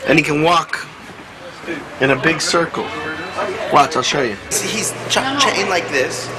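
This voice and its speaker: A man directing a film, animatedly pitching ideas and giving directions in casual behind-the-scenes talk. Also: lively intonation, a little slow, over music. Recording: noisy on-set location audio.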